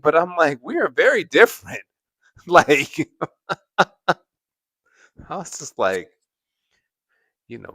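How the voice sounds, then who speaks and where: A man's voice: fairly low pitch, vocal fry, a bit bright, no room sound. A man hosting a casual livestream, chatting to viewers and laughing often. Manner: laughs often, repeats "at the end of the day". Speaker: host.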